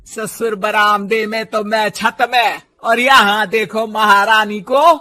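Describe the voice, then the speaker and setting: A woman's voice: mid-range voice, fairly even intonation, slow pace, pushed and bright. A woman voicing a bossy, hot-tempered older mother-in-law in a cartoon story, nagging and sarcastic. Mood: sarcastic scolding. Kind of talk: Hindi moral-story cartoon voice acting.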